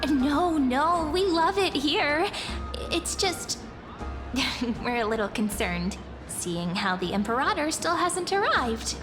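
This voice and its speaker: A woman's voice: sits high, sing-song, slow, full character voice. A woman voicing a perky, friendly, chatty young female adventurer in a video game. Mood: polite, concerned. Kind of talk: anime-style video game voice acting. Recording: long reverb, music behind.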